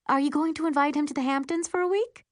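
A woman's voice: high voice, fairly even intonation, fast, dry recording, studio quality, pitched above the performer's own voice, close to an everyday voice. A woman voicing a self-absorbed, oversharing stepmother in her thirties, dramatic and complaining, in an audiobook. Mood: self-absorbed, gushy.

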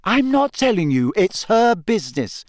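A man voicing a quiet, loyal and easily embarrassed younger teenage girl in an audiobook, soft-spoken and earnest. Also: high voice, big pitch swings, moderate pace, no echo, clean studio sound, voice pushed up. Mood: firm. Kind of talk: audiobook character acting.

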